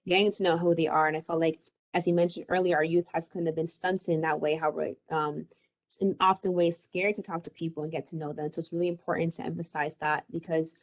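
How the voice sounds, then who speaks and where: A woman moderating a panel discussion, thanking speakers and passing the turn in a quick, appreciative way. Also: low voice, level tone. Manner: quick, appreciative, hands off turns.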